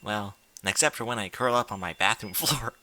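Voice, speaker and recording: A man's voice: lowish voice, moderate pace, pushed and bright. A man reading fan fiction aloud in an informal, blunt way, with incredulous asides in casual speech. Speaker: fan fiction reader and commentator.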